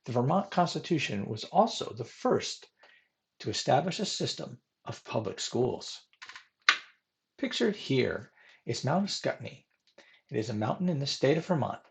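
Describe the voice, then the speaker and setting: A man's voice: mid-range voice, very animated, moderate pace, gravelly, slight background noise. A man reads a scripted history presentation in a formal manner, as a presenter narrating a biography.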